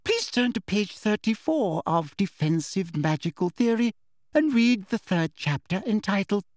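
A smug, controlling woman teacher acted by a male narrator from a storybook. The voice is pitched high and sweetly. Orders are given with sugary precision. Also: sing-song, a little slow, a little mellow, dry recording, studio quality. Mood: smug, falsely sweet.

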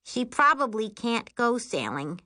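A woman's voice: a little high, very animated, a little slow, bright, forceful tone, pitched above the performer's own voice, exaggerated voice. A woman voicing a whiny, matter-of-fact younger sister in an audiobook. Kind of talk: audiobook character voice.

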